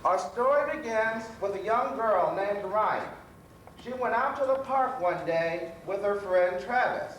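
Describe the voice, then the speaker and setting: A jovial doctor of fun played by a man with a very high male voice. He hosts children's stage storytelling a little haltingly, distant in a roomy space. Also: animated, fairly bright tone. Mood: theatrical. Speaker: host. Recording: roomy, distant stage recording with faint noise.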